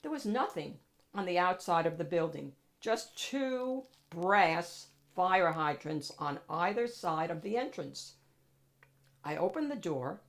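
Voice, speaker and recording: Animated, unhurried woman reading a storybook. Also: lowish voice. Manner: expressive, dramatic emphasis. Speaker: picture book read-aloud host. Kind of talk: animated storybook narration for children.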